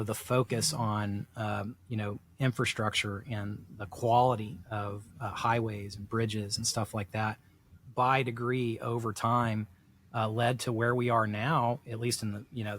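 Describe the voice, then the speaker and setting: A man's voice: fairly low pitch, a bit bright. A middle-aged man from South Texas, talking through ideas in a rambling, reflective way full of "you know" fillers on a podcast. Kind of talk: casual podcast discussion.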